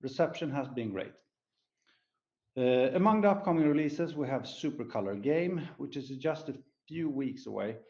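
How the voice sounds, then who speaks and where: A man's voice: medium pitch, expressive, moderate pace, dark tone, lo-fi sound. A man presenting company results as an executive on an earnings call, reading prepared remarks in a formal way. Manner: formal, scripted delivery. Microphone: conference call line.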